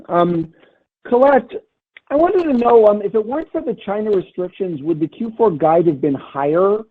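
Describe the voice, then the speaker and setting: A man, a financial analyst, asking polite, long winding questions on an earnings call. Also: on the high side. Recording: phone-line audio.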